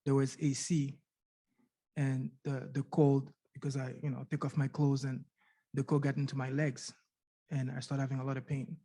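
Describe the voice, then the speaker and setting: A man's voice: mid-range voice, flat delivery, moderate pace, mellow. A middle-aged man from Canada giving an earnest personal testimony at a conference, repeating words and asking rhetorical questions. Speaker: conference speaker sharing his patient story. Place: university conference room.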